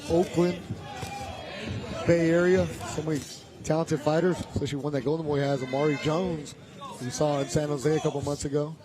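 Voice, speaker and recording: A man giving live play-by-play commentary on a boxing match and questioning his guest analysts. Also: a little high. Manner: energetic, asks guests questions. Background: music and crowd noise.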